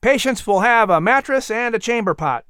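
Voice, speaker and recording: Man doing an eager young workman in an audiobook, pitched up high, helpful n matter of fact, clean dry sound. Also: animated, moderate pace, fairly bright tone, clear, resonant tone, no echo. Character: helpful, oblivious young male hospital workman, cheerful.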